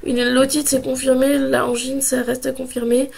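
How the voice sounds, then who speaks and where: A young woman speaking slowly into her camera, her high voice rough and husky from a heavy cold. Her tone is gravelly and full of fry, and she stops and restarts often as she shares her views. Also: little pitch movement, darkish tone. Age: 20s. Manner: hesitant, many pauses and restarts. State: hoarse, sore throat and cold. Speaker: vlogger. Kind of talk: casual opinion vlog.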